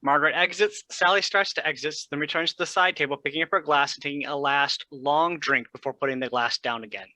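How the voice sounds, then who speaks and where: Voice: mid-range voice, fairly even intonation, fairly quick, hardly any pauses, pushed and bright, dry recording. A narrator reading out stage directions in scripted style for a staged play reading.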